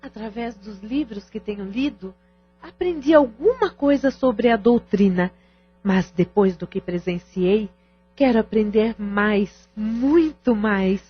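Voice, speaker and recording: Actress doing earnest brazilian woman, husky and slow, eager student, audio drama. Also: medium pitch, expressive. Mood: eager, moved. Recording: dull lo-fi audio with music underneath.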